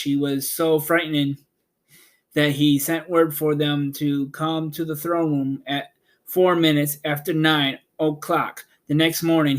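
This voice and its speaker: A man reading a children's storybook aloud in a measured, plain narrating style. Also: fairly high pitch. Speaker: audiobook narrator and host.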